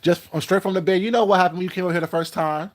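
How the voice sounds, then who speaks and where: A man with a fairly high voice chats loosely on his livestream and greets viewers by name as he goes. He repeats words while he thinks aloud. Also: steady tone, very fast. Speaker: YouTube livestream host. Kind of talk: casual livestream chat reading comments.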